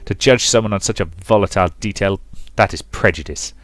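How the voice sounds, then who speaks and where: A man voicing an ancient, callous male predator who feeds on people, talking in a smooth, condescending, amused way. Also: low voice, fairly quick, a bit bright, no echo. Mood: mocking. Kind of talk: visual novel voice reading.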